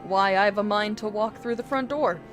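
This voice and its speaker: A woman's voice: medium pitch, normal speed. Woman streamer reading game dialogue over music. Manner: acts out dialogue, casual swearing asides. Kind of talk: livestream read-aloud of video game dialogue. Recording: music behind the voice.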